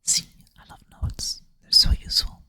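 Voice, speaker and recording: Soft whisper, sleepy. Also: normal speed. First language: Swedish. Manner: soft whisper, thinking aloud, self-deprecating. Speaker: ASMR creator. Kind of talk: whispered ASMR puzzle play-through. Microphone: close ASMR mic.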